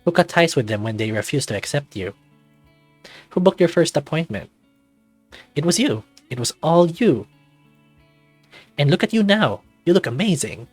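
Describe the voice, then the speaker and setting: A man's voice: a little high, sing-song, normal speed, long pauses, no room sound, faint music behind. Man voicing an anxious, confessional thirty-something man. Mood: self-encouraging. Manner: introspective, confessional, dramatic reading. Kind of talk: first-person visual novel narration.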